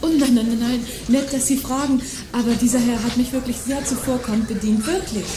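An actress playing a prim, insecure and gullible shop customer in an audio drama, with a formal, earnest manner. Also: female voice, on the high side, fairly even intonation, a little fast, noisy background, natural voice. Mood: insistently nice. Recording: echoey room with music behind.

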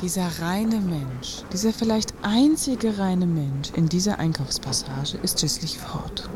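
A woman's voice: on the low side, animated, measured pace, noisy background. A woman acting a haughty, self-righteous affluent shopper in a satirical radio play, with an affected, wordy delivery. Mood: disdainful.

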